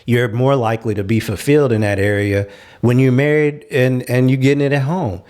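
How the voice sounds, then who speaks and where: Guy on a talk show panel, medium pitch, chatting through his opinions casually. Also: steady tone, fairly quick, darkish tone. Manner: conversational, opinionated, explains his view at length.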